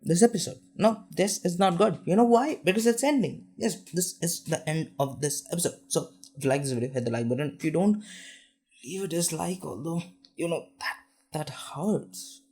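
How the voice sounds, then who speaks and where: A man's voice: fairly high pitch, normal speed, darkish tone, breathy edge. A young man hosting a casual tech news roundup, chatty and self-deprecating, with frequent restarts and asides. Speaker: tech news show host.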